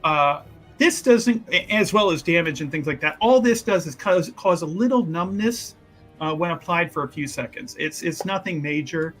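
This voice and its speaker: Male tabletop player, slightly high voice, improvising story casually with emphatic, repetitive asides, faint music behind. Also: normal speed. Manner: animated, emphatic, rambling and repetitive. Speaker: player in a tabletop roleplaying game.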